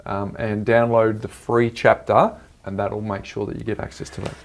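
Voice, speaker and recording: A man's voice: fairly low pitch, flat delivery, normal speed. A man with an Australian accent bantering casually and jokily as a podcast co-host.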